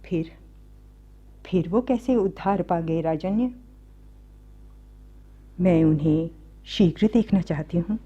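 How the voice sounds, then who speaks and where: An actress playing a young captive princess in a radio play, pleading softly and sorrowfully in a breathy voice. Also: female voice, on the low side, slow, pauses often, exaggerated voice. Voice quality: soft, breathy. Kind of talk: Hindi radio play acting. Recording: dull, muffled studio recording.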